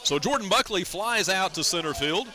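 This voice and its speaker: An American man calling a baseball game as a radio play-by-play announcer, in a measured, descriptive way. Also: high voice, lively intonation, sharp tone, some chatter behind. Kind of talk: live sports radio commentary.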